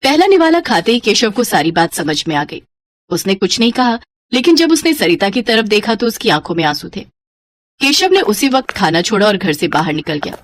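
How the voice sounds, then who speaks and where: A woman narrating a scripted children's story in an expressive storyteller's manner. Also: medium pitch, brisk, no echo.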